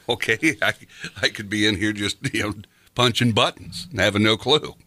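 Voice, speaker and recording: A man's voice: fairly low pitch, moderate pace, sharp tone, no echo. Chuckling sixty-year-old man, rambling. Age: 60s. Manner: chuckling, rambling, heavy on fillers. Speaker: radio host. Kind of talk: casual chat.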